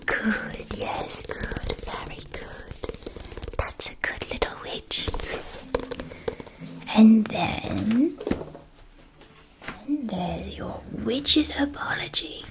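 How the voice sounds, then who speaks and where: A woman whispering softly and carefully in an ASMR roleplay, playing a gentle, doting witch shopkeeper with storybook phrasing. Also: fairly high pitch. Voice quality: breathy, unvoiced whisper.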